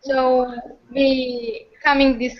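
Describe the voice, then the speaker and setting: A woman from Iran, a native Persian speaker, in an English class, answering haltingly with many fillers over a video call. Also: sits high, level tone. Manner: hesitant learner, halting with fillers. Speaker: student. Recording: muffled video-call audio.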